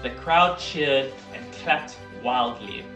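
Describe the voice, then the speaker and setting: Someone reading a children's story aloud in an expressive, deliberate way, leaning into the sound words. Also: mid-range voice, music in the background. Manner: animated, deliberate, emphatic on dialogue and sound words. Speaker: volunteer storybook reader. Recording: roomy echo.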